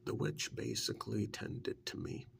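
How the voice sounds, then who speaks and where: Man acting as witch's servant, ASMR role-play, low pitch, slow unhurried delivery, breathy, gravelly, hesitant, earnest. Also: fairly bright tone, a little hiss or hum, exaggerated voice. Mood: earnest, wary. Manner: hesitant, unhurried first-person storytelling. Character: witch's male assistant recounting his rescue, earnest, wary. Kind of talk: soft-spoken ASMR role-play storytelling.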